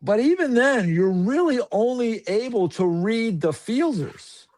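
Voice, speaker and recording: A man who calls hockey games, chatting casually on a podcast in a rambling, filler-heavy, animated way. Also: very high-pitched, unhurried. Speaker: hockey broadcaster guest.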